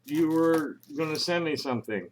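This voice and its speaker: A man making a casual phone call, talking informally in a rambling, halting way. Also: a little high, slight background noise. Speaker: caller.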